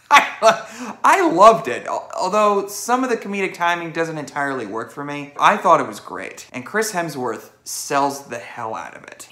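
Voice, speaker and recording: A man giving an opinionated, expressive movie review in a roomy, echoey space. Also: medium pitch. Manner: expressive, acts out quotes and reactions. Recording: roomy, echoey indoor sound.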